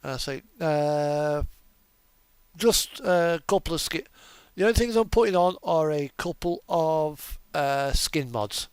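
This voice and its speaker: A man's voice: on the high side, takes it slowly, smooth, no room sound. A man giving casual, unscripted game commentary as a livestream host, thinking aloud and muttering to himself hesitantly.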